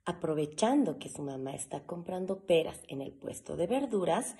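Slow, lively, mellow woman storyteller. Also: medium pitch. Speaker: elementary school teacher reading aloud. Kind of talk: expressive children's storybook reading.

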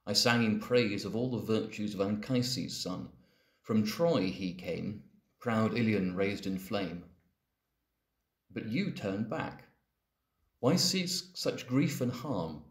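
A man reading poetry aloud as a dramatic recitation. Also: lowish voice. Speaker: poetry reader. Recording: echoey, reverberant room.

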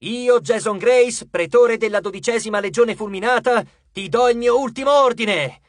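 A man reading an audiobook voices a young officer making a formal proclamation in a loud, ceremonious drill-sergeant shout. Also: very high-pitched, normal speed, dry recording, studio quality, pitched above the performer's own voice. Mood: solemn, commanding.

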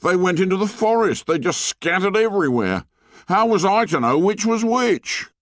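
A man's voice: high-pitched, animated, takes it slowly, creaky, fairly bright tone, dry recording, studio quality, pitched above the performer's own voice, exaggerated voice. A man voicing an indignant, anxious schoolboy in an audiobook, scolding in whiny, ungrammatical speech. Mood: aggrieved protest. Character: indignant, whining schoolboy, anxious bespectacled outsider.

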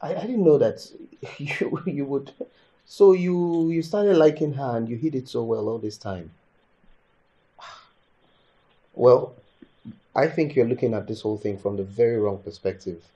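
An actor playing a frank, placating friend in a film drama, speaking informally with a Nigerian accent and a pleading, persuasive manner. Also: male voice, medium pitch, a little slow, long pauses, warm tone, close to an everyday voice, poor audio. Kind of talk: naturalistic film drama acting.

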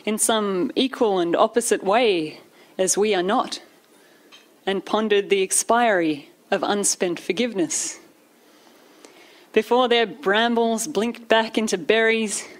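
A woman performing spoken word poetry live, with measured, deliberate phrasing and pauses. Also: mid-range voice. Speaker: poet. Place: live poetry night venue.

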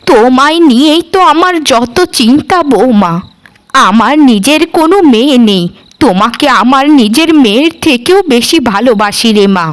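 A woman's voice: on the high side, lively intonation, normal speed, close to an everyday voice. A woman voicing a loving, supportive middle-aged mother-in-law in a cartoon, tender and reassuring. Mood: tender, wistful. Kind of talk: cartoon dialogue.

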